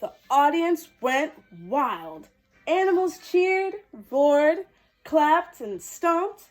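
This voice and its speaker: A woman with a fairly high voice reads to children at a very slow, deliberate pace. Her delivery is expressive and sweet, as a storytime host speaking to young viewers. Faint music plays behind her. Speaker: children's storytime host dressed as a princess character. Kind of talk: animated children's picture-book reading and direct address to young viewers.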